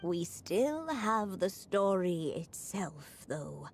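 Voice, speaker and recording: An actress playing a gentle, earnest mother and writer in a video game, speaking softly and in measured phrases. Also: lowish voice, very animated, soft background music, exaggerated voice. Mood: earnest, hopeful. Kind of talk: scripted video game voice acting.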